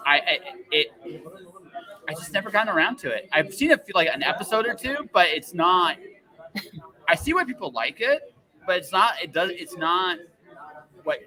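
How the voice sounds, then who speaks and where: A man hosting a casual interview in a rambling, halting, self-deprecating way, often repeating himself. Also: high voice, big pitch swings, sharp tone, low-quality recording. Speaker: interview podcast host.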